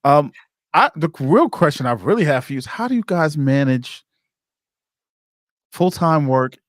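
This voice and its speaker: A man's voice: fairly high pitch, sing-song, unhurried, no echo. Conversational male interviewer, very dry sound. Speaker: podcast interviewer, host. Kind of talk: casual interview talk.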